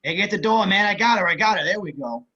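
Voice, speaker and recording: A man's voice: high voice, expressive, quick pace, pushed and bright, husky, voice pushed up, heavily acted. A man voicing a sleazy, coaxing barfly in an amateur table read over a video call. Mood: busy, sly. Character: sleazy, coaxing male creeper at a bar. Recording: slightly dull video-call audio.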